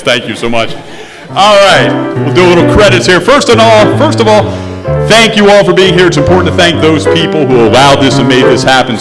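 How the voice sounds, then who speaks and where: A man hosting a live stage show, giving informal, jokey and effusive thanks and announcements into a microphone. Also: a little high. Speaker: show host thanking cast and audience. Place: stage in a large hall, at a microphone. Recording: echoey hall stage recording with music.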